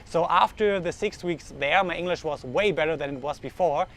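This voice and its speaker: A man's voice: fairly high pitch, normal speed, fairly bright tone. A young man with a German accent, a native German speaker, vlogging to camera in earnest casual talk with frequent restarts as he thinks aloud, recorded outdoors on a street. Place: outdoors in the sun, urban street. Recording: faint outdoor street noise.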